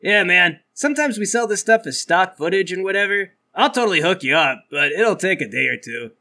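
A man playing a laid-back, excitable rich young suspect in a tabletop comedy, talking in a casual slacker way. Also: sits high, a little fast, heavily acted. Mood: easygoing. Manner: slacker drawl, says "man" and "dude". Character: laid-back rich young action-film-loving perp, easygoing and excitable.

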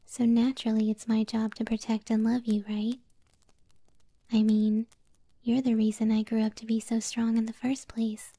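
A woman voicing a possessive, doting young moth-girl girlfriend in an ASMR roleplay, speaking softly, breathily and tenderly, close to the microphone. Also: a little high, no room sound. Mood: possessive, pleading. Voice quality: soft, breathy, whispery. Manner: slow, tender, teasing. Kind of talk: ASMR audio roleplay, intimate soft speech.

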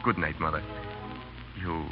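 An actor playing a polite, earnest, devoted young Spanish suitor in a 1930s radio drama. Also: male voice, extremely low pitch, fairly even intonation, measured pace. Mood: reassuring. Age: young adult. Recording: muffled 1930s radio recording with music.

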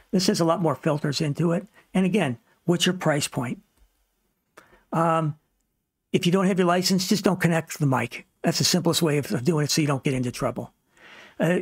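A man's voice: a little high, breathy edge, no echo, studio quality. A man narrating an informal instructional slide presentation as host, plain-spoken and practical, offering advice. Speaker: host of a ham radio tutorial series.

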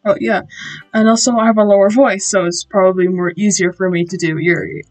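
A woman's voice: medium pitch, fairly even intonation, brisk, a little mellow, soft background music. A young woman streaming a game, chatty and casual, swearing and laughing at her own slips.

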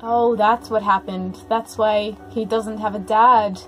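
A woman's voice: mid-range voice, steady tone, slow pace, a bit bright, poor audio. A woman giving live, unscripted reaction commentary, exclaiming and gushing as she narrates what is happening. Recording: music playing behind the commentary.

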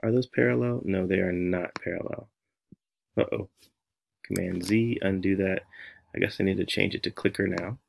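A man's voice: low-pitched, normal speed, creaky, slight background noise. A man in his thirties with an American accent, giving a casual, playful tutorial as he thinks aloud. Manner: animated, playful, talks to himself. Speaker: music teacher and tutorial host.

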